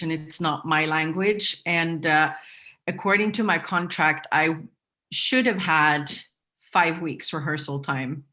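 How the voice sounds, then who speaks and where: Swedish woman guest rambling in english, lots of ums, lowish bright voice. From Sweden. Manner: chatty, many fillers and restarts, laughs often. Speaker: interview guest, singer. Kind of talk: casual interview chat.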